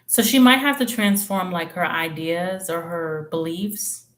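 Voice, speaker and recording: A woman's voice: lowish voice, unhurried. A woman giving live tarot readings in a candid, slangy, chatty way, thinking aloud with hums and trailing phrases. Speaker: tarot reader. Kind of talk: casual live-stream reading and chat.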